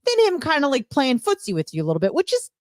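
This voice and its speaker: A woman's voice: sits high, wildly swooping pitch, fast, no room sound, studio quality. A woman co-hosting a radio show, chatting casually in a blunt, playful way. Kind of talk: casual, opinionated chat.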